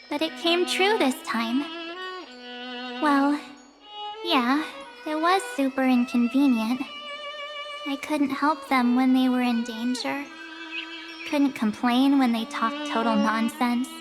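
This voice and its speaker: Casting: a cheerful, sentimental girl traveler for an anime game, high-pitched, unhurried, bubbly. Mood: wry, reflective. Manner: bubbly, expressive, girlish. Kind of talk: anime game voice acting, scripted dialogue and narration. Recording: roomy reverb under orchestral string music.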